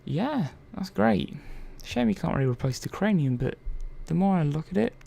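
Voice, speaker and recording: A man playing a curious, gently chatty robot repair technician in a soft-spoken ASMR roleplay, murmuring and thinking aloud in an unhurried, hesitant way. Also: on the high side, very animated, creaky, exaggerated voice. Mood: gently absorbed. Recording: faint background noise.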